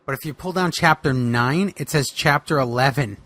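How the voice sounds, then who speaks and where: A man's voice: medium pitch, normal speed, fairly bright tone. A man co-hosting a podcast in casual comic banter, sounding baffled and complaining. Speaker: podcast co-host.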